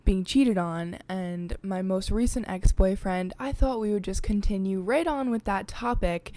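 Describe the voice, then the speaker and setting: A woman's voice: mid-range voice, a little slow, warm tone, no room sound. A young woman hosting her own podcast, chatting in a confiding way with lots of filler words. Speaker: podcast host. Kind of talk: casual chat. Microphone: desk podcast mic.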